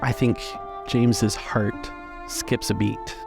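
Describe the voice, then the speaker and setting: A man's voice: fairly low pitch, level tone, slow pace, creaky. A man narrating scenes as game master of a tabletop roleplaying game. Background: music.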